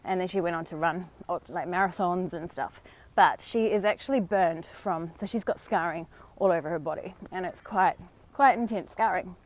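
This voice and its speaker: An Australian woman vlogging live on a walk outdoors, slightly hoarse from a sore throat, talking in a chatty, encouraging way with many fillers. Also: lowish voice, moderate pace, hardly any pauses. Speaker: vlogger and dating coach. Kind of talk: casual live-stream talk.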